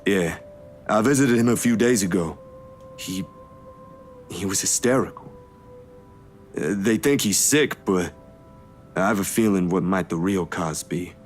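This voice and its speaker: A man playing a calm, reassuring young man in a visual novel, speaking in a measured, slightly hesitant way. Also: sits low, soft background music. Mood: troubled, reassuring.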